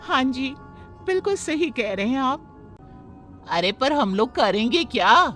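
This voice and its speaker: A woman plays an anxious, accusing wife from a village cartoon tale. Her highish voice moves up and down with feeling, over faint music. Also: big pitch swings, unhurried, a bit bright, exaggerated voice. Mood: doubtful. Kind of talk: animated moral story acting.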